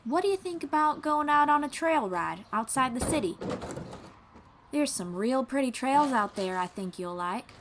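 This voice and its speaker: Folksy, higher-voiced traveling bard woman, acted. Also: expressive, normal speed, slight background noise. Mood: warm, welcoming. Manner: encouraging, reassuring, gently guiding. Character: friendly young female traveling bard who teaches riding. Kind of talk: second-person audio roleplay acting.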